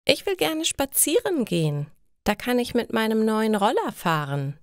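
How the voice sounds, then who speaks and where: Young son for a learner's storybook, played by a female narrator: curious, eager, higher-pitched, animated, unhurried. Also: no room sound, studio quality. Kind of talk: storybook dialogue in a language lesson.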